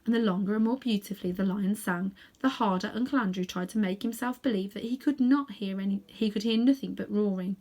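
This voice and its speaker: A woman narrating a children's storybook aloud in an expressive reading style. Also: mid-range voice, brisk, few pauses. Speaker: storybook reader.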